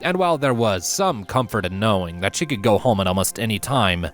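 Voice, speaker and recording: A man narrating a fantasy story from a script in an expressive, dramatic way. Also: on the low side, brisk. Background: music.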